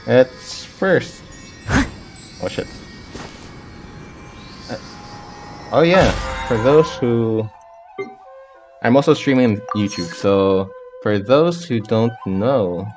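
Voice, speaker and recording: A man's voice: medium pitch, drawn-out words, long pauses, darkish tone. A man livestreaming a video game in casual, scattered chat, repeating himself and swearing. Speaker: game streamer. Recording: muddy, noisy amateur stream audio. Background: game music.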